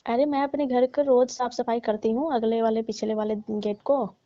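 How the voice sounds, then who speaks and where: A woman plays a married auntie in a comic phone skit, teasing and placating a younger lover. Her voice is fairly high and very mellow. The recording is dull and dry, like a saved call. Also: steady tone, moderate pace, no echo, natural voice. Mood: pleading. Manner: coaxing, teasing, playful.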